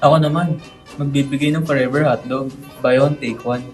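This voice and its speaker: A man's voice: mid-range voice, fairly even intonation, normal speed, darkish tone, faint music behind, lightly acted. A man playing a joking, boastful village guy in a radio drama. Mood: playful, boastful.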